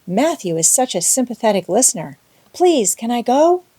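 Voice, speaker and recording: A woman voicing an excitable, talkative, imaginative young girl in an audiobook, gushing and breathless. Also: fairly high pitch, normal speed, breathy edge, voice pushed up. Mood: eager, pleading. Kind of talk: audiobook character voice.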